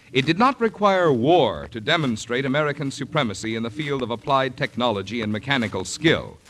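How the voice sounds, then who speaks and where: Male radio announcer, 1940s style, formal, declamatory, lively varied intonation, mid-pitched. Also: slight background noise. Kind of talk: 1940s radio scripted sponsor message.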